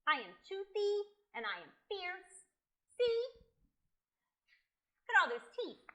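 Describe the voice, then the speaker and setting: A woman voicing an excitable little boy who plays at being a dragon, loud, boastful and melodramatic, in a picture-book read-aloud. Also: very high voice, slow, pitched above the performer's own voice, exaggerated voice. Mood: boastful, showing off.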